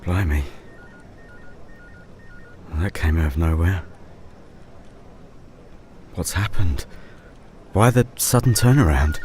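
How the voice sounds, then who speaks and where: A man playing a weary, gentle father in an audio drama, speaking in a subdued way over faint noise. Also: low-pitched, expressive, slow, long pauses, faint music behind. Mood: worried.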